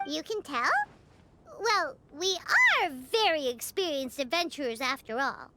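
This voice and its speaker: A woman's voice: high-pitched, expressive, a little slow, heavy background noise. A woman voicing a tiny, chirpy female companion creature in a video game, bubbly and inquisitive.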